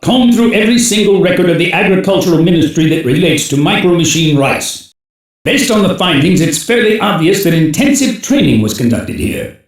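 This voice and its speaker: An actor playing a stern, commanding older security chief who gives terse, authoritative briefings in an anime game. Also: male voice, high-pitched. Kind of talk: English-dubbed anime game voice acting. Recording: very echoey, reverberant game audio.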